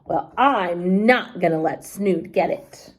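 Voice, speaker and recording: Woman reading as a crafty, unhurried farmer man. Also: on the low side. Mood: determined. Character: John, crafty cheerful poor farmer man.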